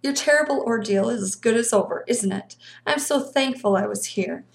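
A woman voicing a courteous but sinister bearded man in a yellow suit, speaking smoothly and patiently in a storybook reading. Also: fairly high pitch, moderate pace, roomy sound. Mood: ingratiating. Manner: smooth, polite, quietly impatient.

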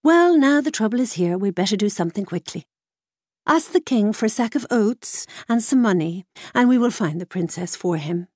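A woman voicing a wise magical mare who gives brisk, commanding orders. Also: mid-range voice, animated, a little mellow, no room sound, studio quality, lightly acted. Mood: urgent.

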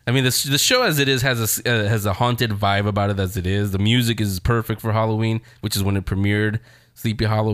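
A man's voice: fairly low pitch, a bit bright, dry recording, clean studio sound. A man hosting a show in a casual, rambling, conversational style, talking straight to his audience. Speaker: show host. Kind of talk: casual chat reviewing TV shows.

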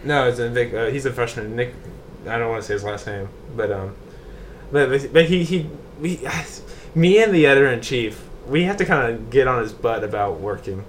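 A man's voice: mid-range voice, animated, normal speed, slight background noise. A young man co-hosting a casual podcast, talking hesitantly with lots of fillers. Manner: hesitant, filler-heavy, laughs easily. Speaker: podcast co-host. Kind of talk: casual chat.

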